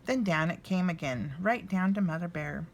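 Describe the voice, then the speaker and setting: A woman reads a children's story aloud. Her delivery is highly animated, with lively swings in pitch and dramatic pauses for emphasis. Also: slight background noise. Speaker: storybook reader. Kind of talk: expressive children's storybook narration.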